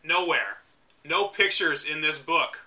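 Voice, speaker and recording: Bright-voiced man reading with sarcastic asides. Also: a little high, animated, slow pace, rough recording. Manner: mocking, dry asides between read passages. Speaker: host reading a book aloud. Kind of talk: reading aloud with sarcastic commentary.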